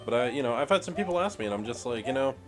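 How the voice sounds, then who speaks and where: A man's voice: mid-range voice, lively intonation, very fast, soft background music. A man co-hosting a gaming podcast, chatting in an easygoing, laid-back way and often agreeing. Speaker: podcast co-host. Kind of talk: casual gaming chat.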